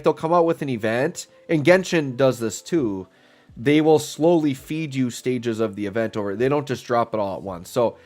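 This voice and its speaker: A man with a stuffy, congested voice, chatting casually and rambling as he streams a game live. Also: mid-range voice. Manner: relaxed, rambling, thinks aloud with fillers. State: stuffy, getting over a cold. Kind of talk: casual live-stream commentary while playing. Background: faint background music.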